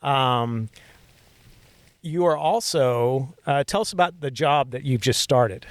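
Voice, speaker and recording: A man's voice: medium pitch, takes it slowly, dry recording. A man producing and co-hosting a college podcast, introducing a guest and prompting her with encouraging affirmations. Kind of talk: friendly interview.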